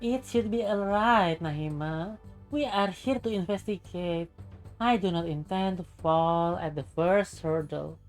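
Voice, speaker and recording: A woman's voice: fairly low pitch, measured pace, faint music behind. Woman reading as a composed, determined young princess. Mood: resolute. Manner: composed, formal.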